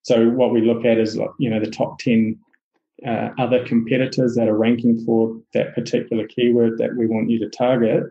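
A man's voice: mid-range voice, moderate pace, echoey room, muffled recording. A man with a New Zealand accent, a podcast guest chatting casually about his business, with plenty of fillers and restarts. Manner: relaxed, many fillers and restarts. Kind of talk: casual interview chat.

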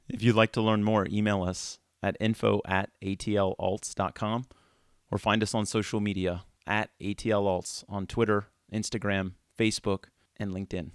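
A man's voice: on the low side, no room sound, clean studio sound. A man hosting a podcast, reading a scripted introduction and asking interview questions. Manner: smooth, read-aloud delivery. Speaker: podcast host.

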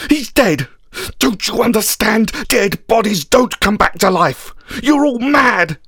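A man's voice: high-pitched, wildly swooping pitch, unhurried, no echo, studio quality, voice pushed up, heavily acted. A man voicing a grief-stricken, angry disciple who refuses to believe, in anguished outbursts, as part of a dramatic story. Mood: furious. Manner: anguished, gasping, shouting outbursts.

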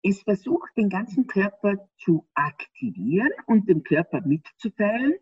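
Austrian woman, interview guest, husky, lively pitch, dull dry recording. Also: measured pace, dry recording. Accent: Austrian German. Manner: animated, laughs often, explains with rhetorical questions. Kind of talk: explanatory interview talk. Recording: slightly dull dry sound.

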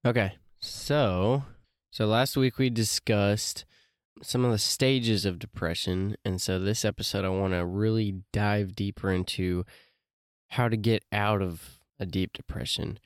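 A man's voice: on the low side, steady tone, measured pace, dry recording, studio quality. A man hosting a casual solo podcast, talking loosely with many fillers and restarts, his voice a bit congested from allergies. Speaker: podcast host.

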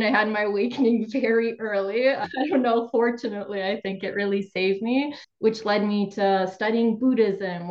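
A woman's voice: mid-range voice, highs cut off. A woman from Ukraine, a somatic therapist and coach, telling her personal story openly in a remote interview. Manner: open, reflective, laughs lightly.

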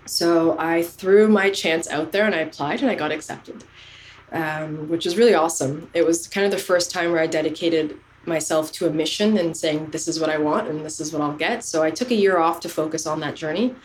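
A woman's voice: low-pitched, fairly quick, a little hiss or hum, poor audio. A woman in her thirties, raised in Canada, earnestly telling the story of her career as a podcast guest. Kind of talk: casual interview chat.

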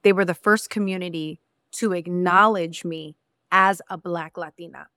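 A woman's voice: lowish voice, fairly even intonation, normal speed, pushed and bright, no room sound. A woman from Mexico hosting a podcast interview, speaking candidly and repeating her points for emphasis. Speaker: podcast host. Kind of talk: casual interview conversation.

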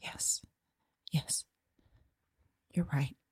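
A woman's voice: very slow, darkish tone, no room sound. A woman playing a frightened woman who whispers, repeats herself and breaks off mid-sentence. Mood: hushed. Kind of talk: audio drama monologue.

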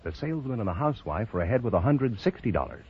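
A man's voice: lowish voice, sing-song, fast talker, breathy edge. An American man announcing a mid-century radio show in a scripted, old-time broadcast style. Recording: dull old radio recording with music.

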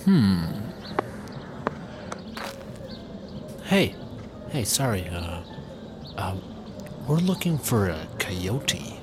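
A man's voice: fairly low pitch, sing-song, takes it slowly, noisy background. A man playing a scheming, blustering American ex-cop in a comedic audio drama, with an American accent. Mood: hesitant, persuasive.

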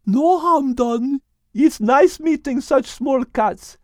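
A man's voice: extremely high pitch, slow, no echo, studio quality, pitched above the performer's own voice. A man voicing a friendly, polite female giraffe in a storybook reading, courteous and full of wonder.

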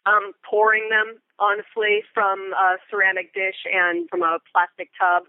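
An American woman being interviewed as a Foley artist, explaining things thoughtfully and in detail, with halting pauses. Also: medium pitch, level tone, narrow, tinny audio. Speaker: guest, theatre Foley artist. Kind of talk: interview conversation.

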